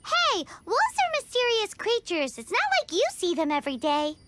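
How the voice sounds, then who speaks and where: A woman voicing a tiny, cheeky, childlike fairy companion in an anime video game, with a squeaky, exclamatory delivery. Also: sing-song, normal speed, very noisy. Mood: earnest.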